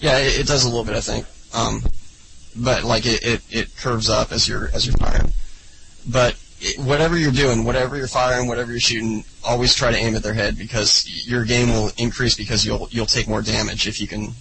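A man's voice: mid-range voice, level tone, brisk, gravelly, noisy background. A man co-hosting a casual gaming podcast, rambling through tips with lots of ums and restarts. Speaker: podcast co-host.

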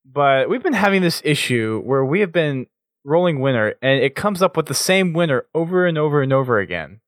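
A man's voice: fairly high pitch, sing-song, brisk, no room sound, clean studio sound. Upbeat man hosting a live stream. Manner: upbeat, announcing giveaways and sponsors. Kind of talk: casual stream chat.

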